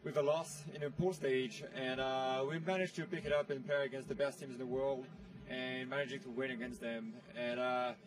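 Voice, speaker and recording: A man's voice: medium pitch, steady tone, moderate pace, distant mic, soft background music, rough recording. A young man from France, giving a casual recap as an interview guest. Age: 20s. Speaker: interview guest, pro gamer. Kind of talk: casual post-tournament recap.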